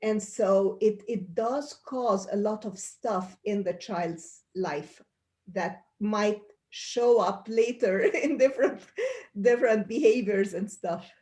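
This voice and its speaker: A woman's voice: mid-range voice, slow pace, warm tone. A middle-aged woman from Egypt, a pediatrician being interviewed, explaining things earnestly with frequent restarts. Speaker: pediatrician guest. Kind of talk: interview answers.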